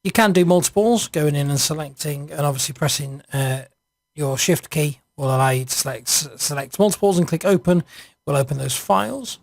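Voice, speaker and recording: A man narrating an informal screencast software walkthrough, hesitant and improvised as he thinks aloud. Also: on the high side, fairly quick, gravelly, dry recording, clean studio sound. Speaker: software reviewer.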